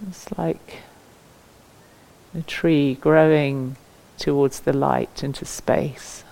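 A woman leading a guided meditation as a teacher, speaking gently and leaving long pauses between phrases. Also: very deep voice, steady tone, vocal fry, poor audio.

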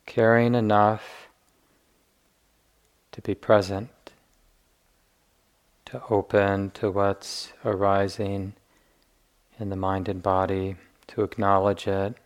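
A man guiding a meditation as a teacher, speaking gently and deliberately with long pauses. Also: fairly low pitch, flat delivery, clear, resonant tone. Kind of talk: guided meditation instruction.

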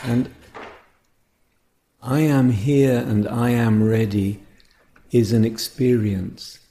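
A man guiding a meditation as a teacher, speaking gently and contemplatively in short phrases separated by pauses. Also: mid-range voice, fairly even intonation. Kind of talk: guided meditation talk.

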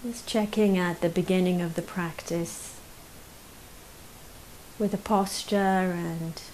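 A woman leading a guided meditation as a teacher, speaking gently and unhurriedly with long pauses. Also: fairly low pitch, steady tone, low-quality recording. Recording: faint hiss behind the voice.

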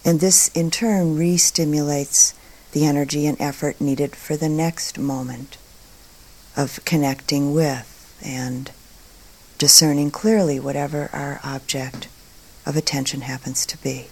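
A woman teaching meditation, speaking calmly and deliberately in short phrases with long pauses between them. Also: sits low, rough recording. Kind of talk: guided meditation talk.

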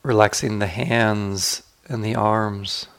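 Male meditation guide: lowish, creaky voice, unhurried and inviting, leaving space between instructions. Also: fairly even intonation, a bit bright. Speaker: meditation teacher leading a guided meditation. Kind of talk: guided meditation, slow spoken instruction with long pauses.

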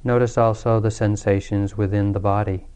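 A man leading a guided meditation as a teacher, speaking calmly and soothingly with long pauses. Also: on the low side, clear, resonant tone. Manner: calm, unhurried, soothing delivery with long pauses.

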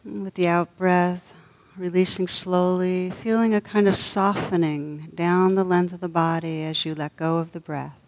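Woman, guided meditation, gentle, flat steady intonation, unhurried pauses, dull lo-fi sound. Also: on the low side. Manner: gentle, unhurried, long pauses between soft phrases. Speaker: meditation teacher.

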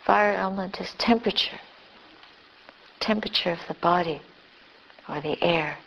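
A woman leading a guided meditation as a teacher, speaking gently and unhurriedly in short phrases with long pauses. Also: fairly low pitch, creaky, a little hiss or hum, low-quality recording. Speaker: Buddhist nun, meditation teacher.

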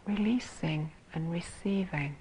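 A woman teaching meditation in a soft, breathy, husky voice, calmly and gently guiding with long pauses between phrases. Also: on the low side, slight background noise, low-quality recording. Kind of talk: guided meditation.

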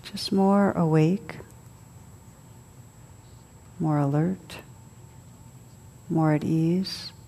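A woman leading a guided meditation as a teacher, soothing and unhurried, speaking in short phrases with long pauses. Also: sits low, steady tone, gravelly, mellow, husky. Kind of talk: guided meditation, spoken to a group.

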